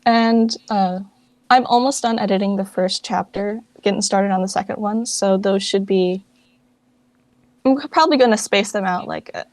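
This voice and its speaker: A woman's voice: mid-range voice, moderate pace, a little mellow, no room sound. A young woman speaking as a guest in a casual interview, informal and self-deprecating in the way she talks. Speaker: interview guest, project founder and voice actress.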